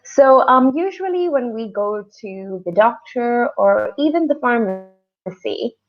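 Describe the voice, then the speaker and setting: A woman's voice: on the high side, lively intonation, slow, faint music behind. Dull-sounding webinar audio, woman teacher from Pakistan. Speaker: English teacher co-hosting a webinar. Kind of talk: online lesson, informal explaining. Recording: dull, lo-fi online call audio.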